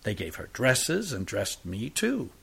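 A man voicing a pious, self-pitying male tramp with a soft, drawling, sickly sweet voice. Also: medium pitch, wildly swooping pitch, measured pace, creaky, breathy edge, full character voice. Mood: boastful.

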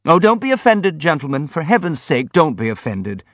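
A man's voice: medium pitch, lively intonation, a bit bright, no room sound, muffled recording, voice pushed up. A man voicing an earnest, polite prince in an audiobook, frank and anxious to placate. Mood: apologetic. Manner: earnest, hurried, polite pleading. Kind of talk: audiobook character acting.

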